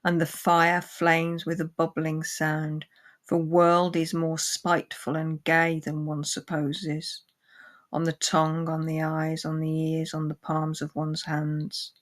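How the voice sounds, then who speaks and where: Low-voiced woman speaking at a slow pace. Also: completely flat delivery. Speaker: guest poetry reader and discussant. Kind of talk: poem reading and informal book chat.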